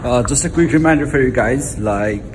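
A man's voice: mid-range voice, brisk, background noise. Nepali-accented young man vlogging by phone. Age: 20s. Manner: chatty, lots of fillers like "like" and "uh". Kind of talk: casual vlog chat. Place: college gym and campus halls, indoors. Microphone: phone camera at arm's length.

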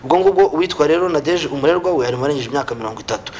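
A man's voice: fairly high pitch, animated, very fast, darkish tone. A young man with a Rwandan accent presenting sports talk and a round-up of results in a studio. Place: small TV studio, indoors.

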